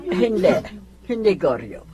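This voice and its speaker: A woman's voice: fairly low pitch, very animated, a little slow. An actress playing an emotional, earnest poor neighbour woman, an ailing former drinker, in a radio drama. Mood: earnest conviction.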